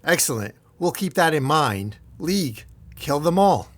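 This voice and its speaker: A man's voice: a little high, big pitch swings, measured pace, fairly bright tone, no room sound, exaggerated voice. A man voicing a haughty villain who leads assassins in a comic story, pompous and commanding. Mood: scornful. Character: haughty, booming male villain leading assassins.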